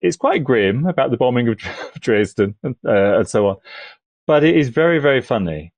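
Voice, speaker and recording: A man's voice: medium pitch, sing-song, moderate pace, no echo. A man speaking as a podcast guest in thoughtful critical discussion, often restarting his phrases as he goes.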